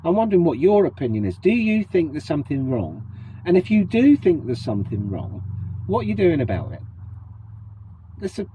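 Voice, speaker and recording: A man's voice: mid-range voice, mellow, a little hiss or hum, poor audio. A middle-aged British man with a cold and a sniffly voice, chatting casually to his vlog viewers and putting questions to them. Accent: British English. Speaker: vlogger. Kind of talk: casual to-camera chat.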